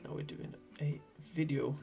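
A man in his thirties with a Swedish accent, a Swedish native speaker explaining fly tying in a relaxed, hesitant way from a small home workshop. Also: moderate pace, soft background music. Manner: relaxed, hesitant, thinking aloud. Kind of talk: casual tutorial narration.

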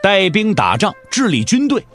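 A man narrating an audio drama with dramatic, expressive scripted storytelling. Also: high-pitched, moderate pace, soft background music.

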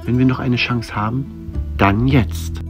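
A mid-pitched voice of a male actor plays a grave, resolute warrior. He speaks earnestly and with measure, in a fantasy radio play, music clearly behind him. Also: fairly even intonation, measured pace, close to an everyday voice. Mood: grave, urgent. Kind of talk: fantasy audio drama acting.

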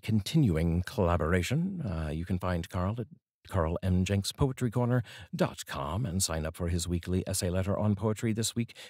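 Very deep, husky middle-aged man. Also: a little mellow, dry recording, clean studio sound. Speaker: poetry reader, voice artist. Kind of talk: poetry reading with casual introduction. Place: small dark indoor studio. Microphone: large studio microphone, close.

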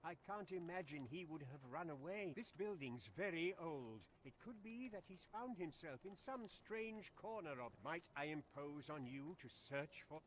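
An actor playing an earnest, bookish older scholar in a fantasy game, formal and emphatic, with archaic phrasing. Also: male voice, fairly high pitch, lively intonation, moderate pace, hardly any pauses, slight background noise, natural voice, poor audio. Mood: concerned.

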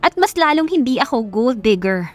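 A dutiful young wife, voiced by a woman narrator in an audio love story. She sounds earnest and wounded, with an apologetic manner and a fairly high, expressive voice. Soft music runs behind her. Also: moderate pace. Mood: pleading, firm. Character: gentle, hurt young wife, dutiful daughter.

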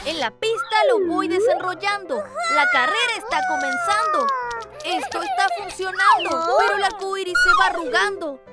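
Excitable, squeaky-high little lion cub. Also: female voice, moderate pace, heavily acted. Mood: excited. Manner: exclamatory, singsong. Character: excitable young lion cub narrating adventures in rhyme. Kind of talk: children's cartoon dubbing, singsong rhymed lines. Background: music.

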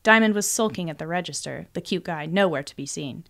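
A woman's voice: fairly low pitch, a little fast, vocal fry, fairly bright tone. A woman reading first-person fiction as an audiobook narrator in a scripted storytelling style.

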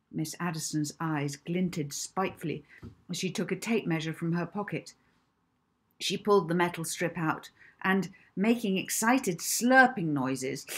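A woman in her fifties reading her own children's book aloud as an expressive, deliberate storyteller. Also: low-pitched, husky. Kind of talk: children's audiobook narration. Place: small indoor room at home.

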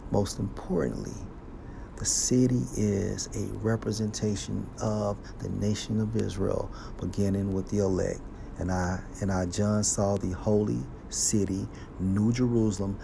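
A man teaching scripture, reading verses aloud slowly and explaining each one with a deliberate delivery. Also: on the low side, vocal fry, background noise. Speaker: Bible teacher.

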